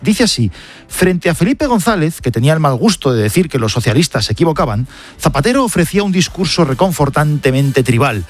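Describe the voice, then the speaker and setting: A man with an accent from Spain presenting a scripted press review on the radio, with conversational asides to a colleague. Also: a little high. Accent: Spanish, from Spain.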